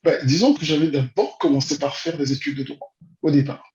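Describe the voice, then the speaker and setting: A man speaks as an interview guest. His voice sits fairly high, and he picks his words slowly and hesitantly. The sound is muffled, with clear room echo. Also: darkish tone. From Congo-Brazzaville. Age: 40s. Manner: hesitant, measured, with long drawn-out pauses. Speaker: interview guest, immigration consultant and teacher. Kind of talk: conversational interview answers. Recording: dull, roomy, echoing sound.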